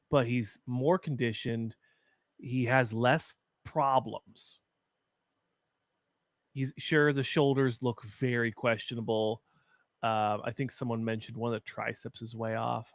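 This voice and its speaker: A young man with a deep, gravelly voice hosts a live watch party, talking loosely and sharing opinions. His speech is full of fillers. The sound is close and dry, like a desk mic in a small room at home. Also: fairly bright tone, no echo. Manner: chatty, opinionated, frequent fillers. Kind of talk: casual live-stream commentary.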